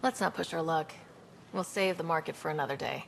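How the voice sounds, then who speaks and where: Confident explorer, gravelly creak. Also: female voice, deep voice, a little fast, a little hiss or hum. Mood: wry, direct. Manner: wry, direct. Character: confident young woman explorer and team leader. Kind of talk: video game voice acting.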